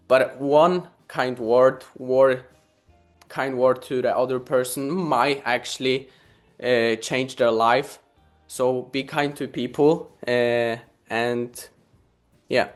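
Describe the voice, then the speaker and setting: A young Polish man speaking English slowly, answering an interviewer with frequent pauses and fillers and breaking into easy laughs. His pitch sits in the middle range, and faint music plays behind him. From Poland. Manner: hesitant, many fillers, laughs easily. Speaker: guest.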